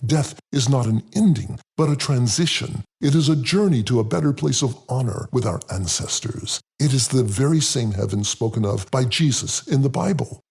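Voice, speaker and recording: A man voicing a solemn, welcoming elderly man in an audiobook, speaking deliberately in a raspy, gravelly voice. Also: medium pitch, animated, darkish tone. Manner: deliberate, measured. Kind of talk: audiobook character voice.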